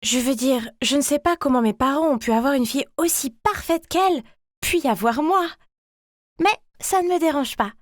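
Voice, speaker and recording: A woman's voice: sits high, normal speed, no echo, clean studio sound, lightly acted. A woman voicing a chatty, ordinary schoolgirl, an overlooked younger sister who tells her own story expressively. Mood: cheerful, chatty. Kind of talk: animated first-person story narration.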